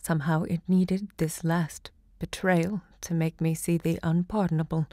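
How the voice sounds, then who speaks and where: An actress playing a passionate, proud literary hostess and lover, narrating an audio drama with dramatic, deliberate delivery. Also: female voice, fairly low pitch, flat delivery, warm tone, no room sound, studio quality, close to an everyday voice. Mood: bitter, wounded.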